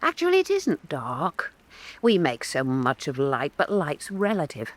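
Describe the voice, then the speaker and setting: A woman's voice: low voice, huge pitch swings, unhurried, full character voice. An actress playing a defiant, earthy, eccentric woman painter in prison, musing and teasing in a radio drama.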